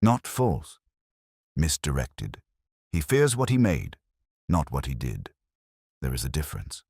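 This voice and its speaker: Guy reading as a victorian detective, very deep voice, cool n cryptic, deliberate, pitch moves a lot. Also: huge pitch swings, darkish tone, husky, no echo, clean studio sound, heavily acted. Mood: shrewd, probing. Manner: deliberate, aphoristic, commanding.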